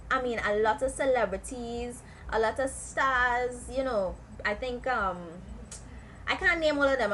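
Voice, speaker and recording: A young woman from South Trinidad with a Trinidadian accent, chatting casually to camera in a lively way with local slang. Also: mid-range voice, a little hiss or hum, rough recording. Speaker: vlogger.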